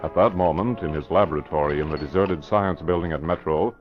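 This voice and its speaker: An actor narrating an old radio mystery drama in a measured, solemn, dramatic way. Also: male voice, very deep voice. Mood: grave. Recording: muffled vintage radio recording with music.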